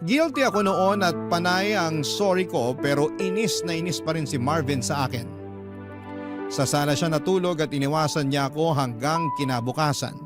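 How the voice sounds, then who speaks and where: A man narrating a listener's letter in a calm, clear, measured radio-storytelling voice, pitched a little high for a man. Instrumental music plays clearly beneath the narration. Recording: narration over background music.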